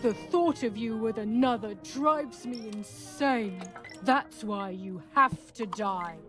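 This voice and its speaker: A woman puts on a jealous, murderous man, a puppet lover in a dark game legend. The words come slowly and menacingly, a little high, with clear music underneath. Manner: slow, menacing, drawn-out delivery. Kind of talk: dramatic puppet-show acting in a game. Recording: music behind the voice.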